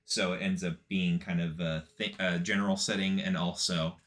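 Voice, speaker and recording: A man co-hosting a casual anime discussion, agreeable and quick to chime in with short affirmations. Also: sits low, flat delivery, fairly bright tone. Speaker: podcast co-host.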